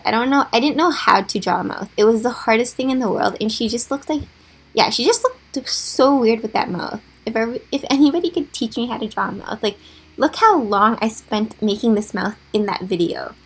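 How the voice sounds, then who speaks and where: Raspy young woman, chatty and self-deprecating. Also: a little high, a little fast. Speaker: gaming YouTuber. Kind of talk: casual voice-over of a drawing timelapse.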